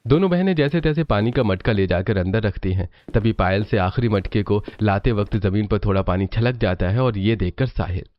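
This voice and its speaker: A man narrating a scripted family tale as a storyteller, with expressive delivery. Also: lowish voice, fairly quick, hardly any pauses, highs cut off.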